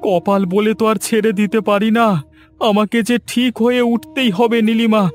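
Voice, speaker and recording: Cartoon moneylender, played by a man: high, strained, melodramatic delivery over music. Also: flat delivery, normal speed, natural voice. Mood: pained, pleading. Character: menacing moneylender, later a whining, repentant injured husband. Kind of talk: amateur cartoon dubbing.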